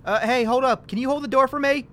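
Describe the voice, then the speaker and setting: An actor playing a grumpy, suspicious, self-important flat-earther in a comedic audio drama, with a pompous, grumbling delivery. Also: male voice, extremely high pitch, quick pace, slight background noise. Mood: suspicious, officious.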